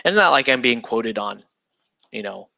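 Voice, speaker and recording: A man's voice: mid-range voice, animated, moderate pace, gravelly, bright, forceful tone, no room sound, dull audio. A man hosting a miniatures hobby show, chatting casually while he builds models, rambling and joking with lots of fillers. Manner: breaks into laughter and puts on silly voices.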